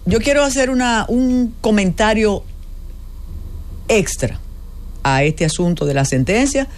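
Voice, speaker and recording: A woman with a Dominican accent, a lawyer on a political talk panel, cutting in assertively and slowing down deliberately to press her points. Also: medium pitch, lively intonation, darkish tone. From Puerto Plata, Dominican Republic. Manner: assertive, cuts in, slow and deliberate when stressing points. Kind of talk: political debate chat.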